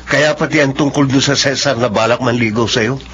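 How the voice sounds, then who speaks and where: A man's voice: medium pitch, steady tone, fairly quick, fairly bright tone, natural voice. A man playing a curt, frustrated young boyfriend. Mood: irritated. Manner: curt, irritated. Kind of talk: spoken drama acting. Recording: dull lo-fi audio with faint noise.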